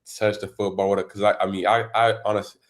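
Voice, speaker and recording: A teenage boy, a high school football recruit born in Congo, answering interview questions in a casual, rambling way full of "you know" and "and all that". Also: flat delivery, quick pace. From Democratic Republic of the Congo. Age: teens.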